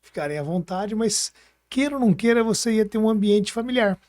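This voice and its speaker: A man's voice: sits high, expressive, brisk, a little mellow, no room sound, studio quality. A man with a Brazilian Portuguese accent chatting informally as a podcast host, thinking aloud as he goes. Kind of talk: casual podcast conversation.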